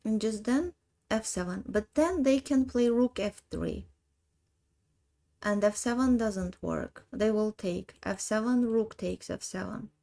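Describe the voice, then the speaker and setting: Armenian woman in her 30s, chess streamer, slow halting delivery, dark gravelly tone. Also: medium pitch. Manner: thinks aloud, slow halting English. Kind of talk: live chess puzzle stream.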